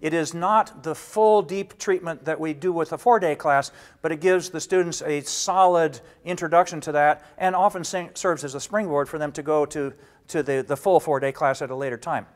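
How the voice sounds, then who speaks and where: A man's voice: fairly high pitch, steady tone. Older man explaining a training course. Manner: measured and explanatory, gesturing with both hands, frequent restarts. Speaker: data warehouse instructor. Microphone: lapel mic.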